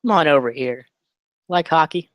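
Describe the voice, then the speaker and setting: A man playing a gruff, hockey-obsessed uncle in his fifties in a table read, blunt and distracted. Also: on the high side, moderate pace, no echo, close to an everyday voice. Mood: gruff, impatient. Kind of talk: live screenplay table read.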